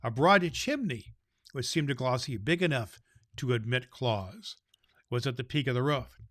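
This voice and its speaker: A man's voice: medium pitch, lively intonation, moderate pace, a bit bright, no echo, studio quality. A man narrating a children's storybook as a storyteller.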